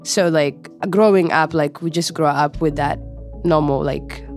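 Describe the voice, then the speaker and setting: Young woman, from Somalia, casual storytelling, lots of fillers, soft music underneath. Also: low voice, fairly even intonation, unhurried. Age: young adult. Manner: casual, many fillers like "like" and "you know". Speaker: interviewee. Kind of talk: personal storytelling interview. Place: studio.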